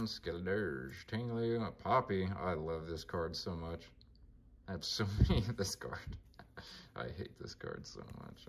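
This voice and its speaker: A man with a monotone voice casually talking through his card collection, mumbling names as he flips through binders. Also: low-pitched, slight background noise. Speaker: collector vlogger.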